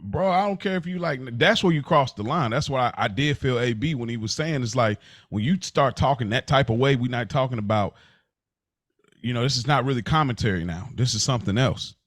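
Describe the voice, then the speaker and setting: A man's voice: mid-range voice, expressive, a little fast, no echo, clean studio sound. A man co-hosting a podcast in casual, slangy chat, circling back and repeating himself to make his point. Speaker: podcast co-host.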